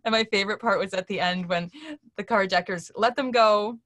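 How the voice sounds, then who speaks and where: A woman's voice: medium pitch, fairly quick, fairly bright tone, no echo. A middle-aged woman, an interview guest, telling stories earnestly and acting out what people said. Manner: earnest, acts out quoted speech while telling stories. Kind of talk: animated storytelling in a casual interview. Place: indoor room, seated on a couch on a video call.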